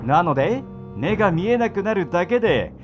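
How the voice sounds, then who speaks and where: A man's voice: high voice, very animated, fairly quick, music in the background. A man acting a playful, mock-polite sadistic torturer in an amateur radio drama. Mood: playful menace. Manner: sing-song mock politeness with giggling.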